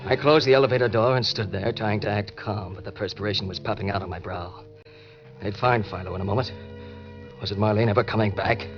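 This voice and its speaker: Noir radio villain, played by a man: low, clipped, cynical and edgy, slangy delivery, dull vintage recording. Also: fairly quick, over loud music, natural voice. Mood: cynical, tense. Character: hard-boiled murderous schemer, cynical and edgy. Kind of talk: old-time radio crime narration and acting.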